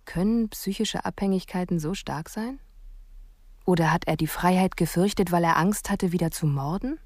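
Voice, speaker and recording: An actress playing a sceptical police investigator, narrating a reflective, questioning inner monologue in a radio drama. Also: female voice, lowish voice, normal speed, a little mellow, dry recording, clean studio sound. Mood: brooding.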